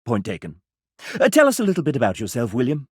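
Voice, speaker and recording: An actor voicing a sassy, flamboyant video game host, speaking in a grandiloquent, arch and melodramatic way. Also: male voice, medium pitch, huge pitch swings, fast, slightly rough, dry recording, studio quality. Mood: teasing, showy. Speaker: voice actor playing a game show host character. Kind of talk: theatrical video game character acting as a game show host.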